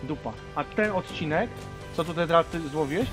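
A man out fishing by a small river, chatting casually and lively with his friend. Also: a little high, brisk, music in the background. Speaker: fishing companion. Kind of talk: casual chat.

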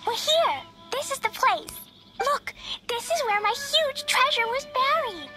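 An actress playing a sweet, excitable, innocent little girl in a video game, with a childlike voice. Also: very high-pitched, measured pace, full character voice. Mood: earnest. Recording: game audio under loud music.

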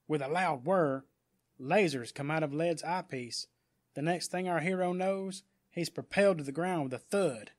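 A man's voice: a little high, moderate pace, slight background noise. A man reading scripted story narration in a dramatic audiobook style.

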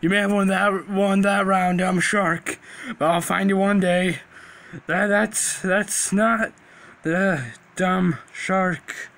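A man voicing a manic, dimwitted cartoon creature that stammers, repeats himself and picks fights in a squeaky, creaky voice. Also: steady tone, slow pace, fairly bright tone, heavily acted. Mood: dazed, weary.

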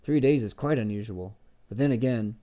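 A man's voice: medium pitch, normal speed, warm tone, natural voice. Man voicing an earnest, nervous story collector. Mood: hesitant, conceding. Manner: eager, hesitant, pleading. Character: earnest, nervous, slightly self-important male story collector.

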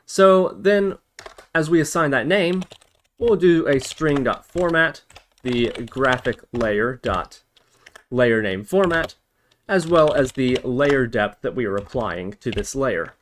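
Man, tutorial host, mid-pitched voice, lively intonation, step-by-step explanation, thinking aloud. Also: slow, slight background noise. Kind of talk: programming tutorial narration.

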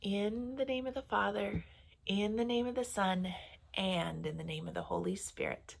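A woman in her forties, a pastor hosting story time, speaking to young children in simple phrases with deliberate pauses. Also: mid-range voice, vocal fry. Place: small room at home or office, indoors.

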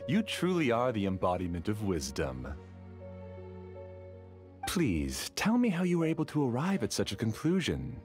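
Low-voiced obsessive anime game scholar, very polite and theatrical, swoopy pitch, music behind. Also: male voice, huge pitch swings, normal speed, pauses often, a little mellow. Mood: amused, admiring. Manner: formal, theatrical, self-assured. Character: obsessive, courteous male scholar who owns a dream world. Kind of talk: anime video game voice acting.

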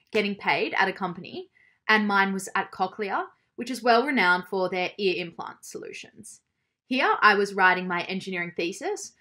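A woman's voice: mid-range voice, bright, forceful tone. A woman, a university student speaking earnestly about her studies into a webcam. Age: 20s. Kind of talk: casual interview answers. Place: bedroom.

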